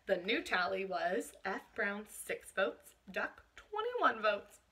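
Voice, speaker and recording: A woman reading a picture book aloud to children in an expressive teacherly way, stopping to explain things. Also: medium pitch, slow pace, slightly rough. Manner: expressive, explains words and asks questions to young listeners.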